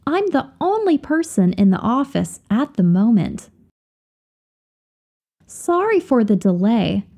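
A woman with a fairly high, mellow voice reads practice sentences at an unhurried pace. Her pitch rises and falls in a lively, animated way. She enunciates carefully, like a teacher setting up drills. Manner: careful, deliberate, each phrase repeated for learners. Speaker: language teacher reading example sentences. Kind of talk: slow, clear drill reading of example phrases.

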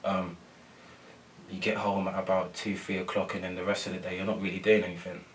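A young man and footballer, soft-spoken and full of ums and repeats, answering post-match questions in a press room. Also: low-pitched, level tone, brisk, far from the mic, a little hiss or hum. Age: young adult. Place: press room before a sponsor board.

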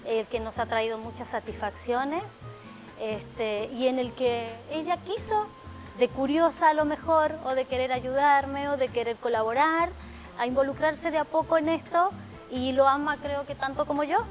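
A middle-aged woman speaks in a high voice, reflective and storytelling, pausing on fillers. She sits on a studio sofa with a lapel mic, with faint music underneath. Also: fairly even intonation. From Argentina (Mendoza). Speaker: guest, salon owner. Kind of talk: television interview. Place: TV studio living-room set.

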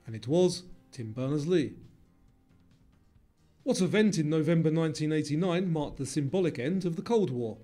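A man's voice: fairly high pitch, animated, normal speed, mellow, soft background music. A man presenting a quiz, reading scripted questions and answers.

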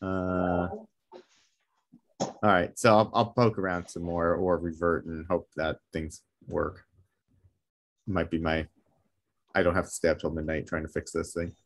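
A man's voice: sits low, little pitch movement, measured pace, long pauses. A man on a video call describing a technical problem, hesitant and full of fillers as he thinks aloud. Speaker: meetup attendee asking for help. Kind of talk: casual video call chat.

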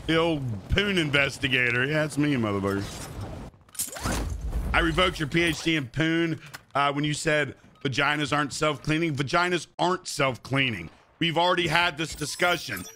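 A man in his thirties streams a game from his chair and trades crude, profane jokes with his chat. His voice rings bright and forward, pitched a touch high, and is picked up on a desk mic. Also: normal speed, a little hiss or hum. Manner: crude, joking banter with chat, frequent swearing. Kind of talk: casual live-stream chat while gaming. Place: indoor room at a gaming chair.

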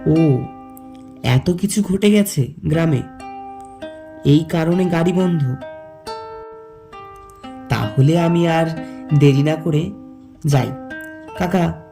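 Casting: male story narrator, slightly high pitch, mellow tone, measured phrasing, light music beneath. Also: gravelly. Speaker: narrator and lead character. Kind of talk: scripted first-person story narration.

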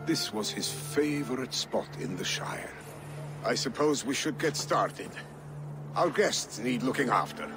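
An actor playing a loyal, solemn older Viking steward with a formal, measured delivery in a video game. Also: male voice, mid-range voice, lively intonation, over music. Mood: solemn, devoted. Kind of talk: dramatic video game cutscene acting.

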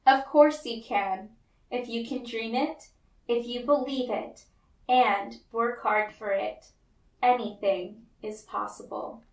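Woman as warm, unhurried storybook mother. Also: a little high, fairly even intonation. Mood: encouraging. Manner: reassuring, emphatic. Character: warm, encouraging mother of a little girl. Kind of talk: children's storybook read-aloud. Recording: roomy, echoey, distant mic.

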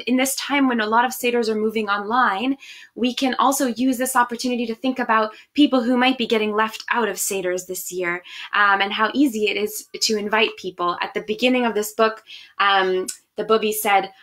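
A woman's voice: medium pitch, sharp tone. A young adult woman reading a picture book aloud expressively and chatting casually to children from a small room at home. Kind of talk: animated read-aloud and casual address to children.

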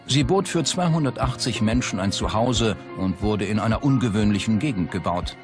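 A man reading scripted documentary narration in a measured, factual way. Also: lowish voice, expressive. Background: background music.

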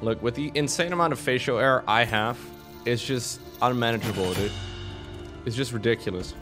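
Brief: bantering male streamer at home, mid-pitched voice, desk mic via audio interface. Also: moderate pace, over music. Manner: joking, bantering with chat, trying out voice effects. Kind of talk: casual stream chat. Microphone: desk mic through an audio interface.

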